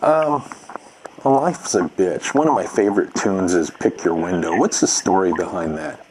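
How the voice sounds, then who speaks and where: Deep-voiced eager interview host. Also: male voice, lively intonation, normal speed. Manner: eager fan, many short acknowledgements. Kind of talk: casual interview questions. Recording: noisy line.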